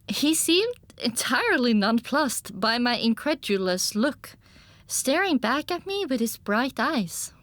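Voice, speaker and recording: A woman narrating a scripted first-person story for an audiobook in an expressive storytelling manner. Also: fairly high pitch, slow pace, no echo.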